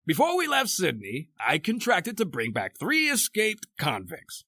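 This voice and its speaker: A greedy, jovially cruel sea captain in a radio horror play. His high, swooping voice is gleeful and condescending, with a gravelly edge. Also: wildly swooping pitch, unhurried, bright, forceful tone, no echo, full character voice. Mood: callous glee. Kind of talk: old-time radio horror acting.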